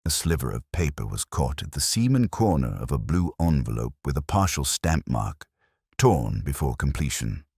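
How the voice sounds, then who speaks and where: A man reading a scripted first-person detective story as narrator, in a measured, melancholy, literary manner. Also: a bass voice, sing-song, creaky, darkish tone, breathy edge, no room sound, studio quality.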